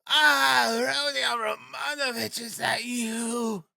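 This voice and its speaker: Man acting a frantic woman, shrill and high. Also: slow, creaky, no echo, clean studio sound, exaggerated voice. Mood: frantic, defiant. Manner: shrill shouting, pleading, scolding. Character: frantic proud consumptive mother, ragged and hysterical.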